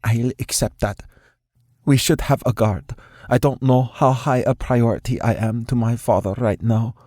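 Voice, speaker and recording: A man's voice: medium pitch, steady tone, normal speed, mellow, no room sound, studio quality, pitched above the performer's own voice, lightly acted. A man reading an audiobook, voicing a spirited, blunt young woman in a raised voice. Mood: insistent. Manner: insistent, blunt. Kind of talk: audiobook character voice.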